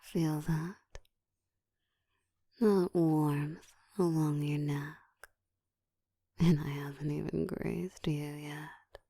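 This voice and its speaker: A woman voicing a seductive, dominant ancient vampire in an intimate ASMR roleplay, teasing and commanding, often slipping into a soft whisper. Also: fairly even intonation, very slow, pauses often, no room sound, studio quality, exaggerated voice. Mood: seductive, taunting. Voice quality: soft, breathy whispering mixed with low murmur.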